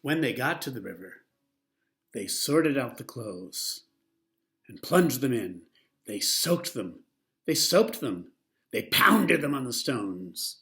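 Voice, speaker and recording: A man reading a picture book aloud as a storyteller, with a lively, expressive delivery. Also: medium pitch, slow, long pauses.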